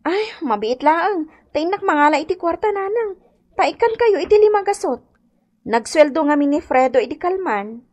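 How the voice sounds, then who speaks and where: Helpful young wife, high voice, sympathetic, obliging, Ilocano accent, radio comedy drama. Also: female voice, animated, moderate pace, a little mellow. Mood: kind, apologetic. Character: kind, helpful young married daughter-in-law, Vivian.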